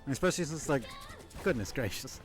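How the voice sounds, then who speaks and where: A man's voice: mid-range voice, animated, a little fast, over music. A man giving casual live gaming commentary, thinking aloud as he plays and chatting with viewers. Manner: musing aloud while playing, chatty with viewers. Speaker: live game streamer.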